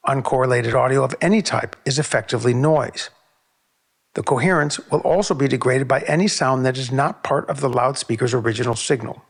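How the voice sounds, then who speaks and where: A man narrating a technical video on sound measurement in a measured, precise, explanatory way. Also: mid-range voice, vocal fry.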